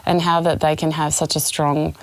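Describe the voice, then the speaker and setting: A woman talks conversationally as an interview host. Her voice sits very low for a woman and has a creaky edge. The recording is dry and close. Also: little pitch movement, moderate pace, dry recording. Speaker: podcast host.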